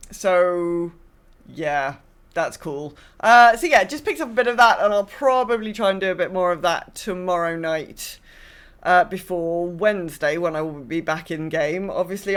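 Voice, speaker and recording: Wry woman chatting on a podcast. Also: mid-range voice. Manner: wry, acts out imagined quotes. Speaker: podcast host. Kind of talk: casual chat about gaming.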